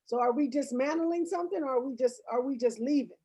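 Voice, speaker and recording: Casting: American woman host, high-pitched yet mellow and husky, affirming, asks short probing follow-ups. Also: fast. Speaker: podcast host interviewing a guest. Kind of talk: conversational interviewing.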